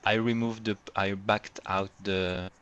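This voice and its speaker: A man leading an online developer meeting in casual chat, quick and lively, laughing often, on a computer mic. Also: lowish voice, smooth, muffled recording. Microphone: computer mic on a video call.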